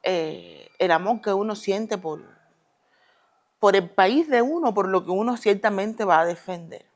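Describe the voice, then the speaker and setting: A woman with a Cuban accent speaks in a relaxed interview, measured and full of pauses and fillers. When a topic stirs her, she speeds up and presses her words with conviction. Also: mid-range voice. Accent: Cuban Spanish. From Cuba. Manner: deliberate, hesitant with many fillers, then emphatic and quick when passionate. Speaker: guest, retired Olympic judoka. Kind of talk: relaxed conversational interview answers.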